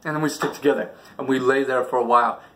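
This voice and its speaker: A man's voice: mid-range voice, fairly even intonation, fast talker, a bit bright, rough recording. A man hosting a storytime, telling personal tales in a casual, dramatic way and acting out the moments. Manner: dramatic, casual, acts out dialogue and sounds. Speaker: storytelling channel host.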